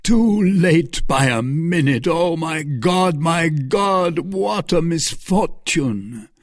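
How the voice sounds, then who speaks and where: Grief-stricken English nobleman for an audiobook man performing; lamenting, exclamatory, drawn out, raised pitch. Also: expressive, slow, dry recording, clean studio sound. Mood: anguished. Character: anguished English lord, self-reproaching and grief-stricken. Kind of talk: dramatic audiobook character acting.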